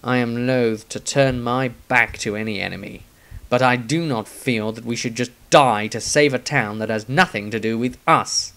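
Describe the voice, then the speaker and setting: A man voicing a stern, proud fighter reading his diary in a fantasy audiobook, solemn and measured. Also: medium pitch, a bit bright, smooth, voice pushed down, natural voice. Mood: resolute.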